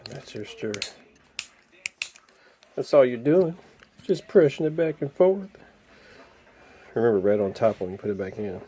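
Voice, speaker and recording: A man's voice: mid-range voice, lively intonation, a little slow, pauses often, warm tone. A man casually narrating a hands-on repair, thinking aloud in an informal way as he works. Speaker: DIY repair video maker. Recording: clearly noisy home video recording.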